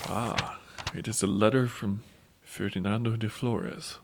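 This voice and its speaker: A man's voice: fairly low pitch, steady tone, measured pace, gravelly, background noise. A man playing a courteous priest and narrating an audio drama. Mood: courteous, measured.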